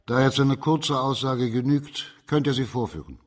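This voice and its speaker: An actor playing a stern, shrewd magistrate in a German radio crime drama, authoritative and terse. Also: male voice, mid-range voice, moderate pace, natural voice. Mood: stern, composed.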